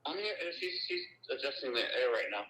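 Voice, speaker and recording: A man's voice: mid-range voice, big pitch swings, brisk, sharp tone. A man chatting casually on a phone call, heard tinny and roomy through a speaker. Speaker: personal assistant on a phone call.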